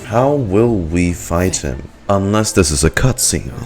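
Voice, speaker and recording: A man reacts casually to a game in a deep voice. His commentary is slow and laid-back, full of joking asides, with faint music behind him. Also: expressive. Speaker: gaming reaction streamer. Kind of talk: casual live gameplay commentary.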